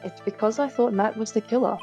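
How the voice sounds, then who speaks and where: A woman's voice: fairly high pitch, fairly quick, mellow, highs cut off, soft background music, lightly acted. A woman voicing a composed, defiant adult woman who talks challengingly in a game fan dub. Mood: cool, defiant.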